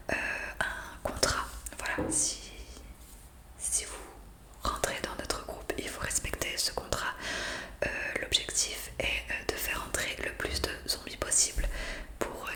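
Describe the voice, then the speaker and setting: A woman speaking in a breathy whisper for an ASMR roleplay, explaining things softly with halting hesitations. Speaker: ASMR creator playing a counsellor for new zombies.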